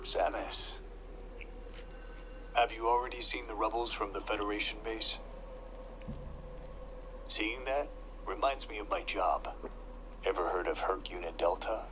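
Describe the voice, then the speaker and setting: A man playing a quiet, solemn covert soldier in a video game, speaking gravely in hushed, breathy tones. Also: fairly low pitch, unhurried, long pauses, noisy background, natural voice. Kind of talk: video game dramatic dialogue.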